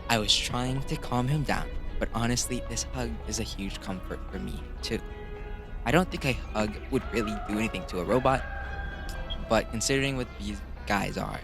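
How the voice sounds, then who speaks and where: A man with a fairly low voice hosts a game playthrough, reading aloud and commenting casually. He trips over words and corrects himself, with music playing clearly behind him. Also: moderate pace. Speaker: gaming video host.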